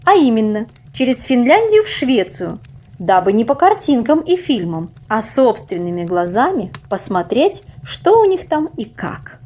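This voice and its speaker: Animated woman storyteller with a fairly high voice. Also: unhurried. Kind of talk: expressive scripted story narration.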